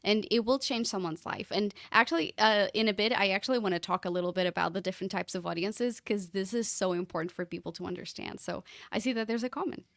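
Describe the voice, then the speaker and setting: A woman's voice: mid-range voice, fast talker, vocal fry, few pauses, a bit bright, dry recording, studio quality. Woman on a podcast. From Portugal. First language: Portuguese. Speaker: podcast guest. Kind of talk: casual podcast conversation.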